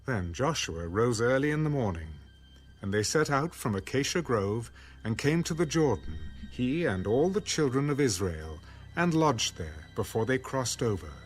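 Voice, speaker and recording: A man's voice: mid-range voice, big pitch swings, normal speed, husky. A man narrating a dramatized Bible as a scripted storyteller. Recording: background music under the voice.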